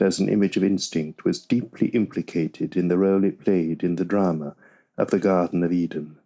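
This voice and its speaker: A man reading a nonfiction audiobook in a measured, deliberate way. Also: sits low, dark tone. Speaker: audiobook narrator. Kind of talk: scripted nonfiction audiobook narration.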